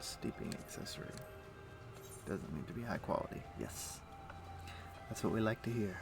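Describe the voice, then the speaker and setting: A man's voice: low-pitched, moderate pace, gravelly, over music. A man livestreaming a game, chatting casually and muttering to himself as he plays. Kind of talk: casual gaming stream chat. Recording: steady background noise.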